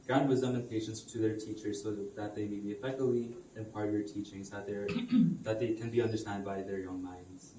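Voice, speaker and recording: A man leading a church service, making announcements and praying in a soft, measured cadence. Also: on the low side, fairly even intonation. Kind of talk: announcements and spoken prayer. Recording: distant mic, echoey hall, background noise.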